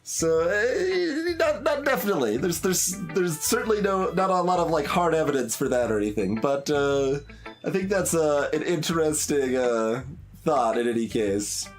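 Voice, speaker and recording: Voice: mid-range voice, lively intonation, moderate pace. A video game streamer giving casual playthrough commentary, musing and hesitant as they think aloud. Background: game music.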